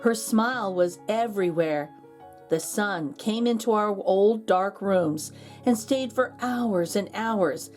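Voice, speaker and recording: A woman narrating a children's storybook aloud as a read-aloud host, expressive and drawing out key words. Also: medium pitch, slow, faint music behind.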